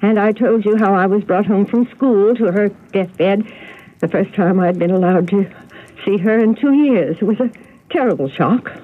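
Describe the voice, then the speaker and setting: An actress playing a genteel, agitated older spinster telling family secrets in a radio mystery drama. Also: female voice, mid-range voice, moderate pace, close to an everyday voice. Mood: wistful, confiding. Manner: fretful, genteel, dramatic storytelling. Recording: narrow, noisy old radio broadcast recording.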